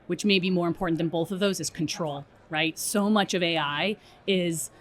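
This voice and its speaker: Low-pitched woman explaining in an interview. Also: moderate pace. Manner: explanatory, often checks with "right?". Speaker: interview guest, strategy director at a software company. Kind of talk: conversational expert interview.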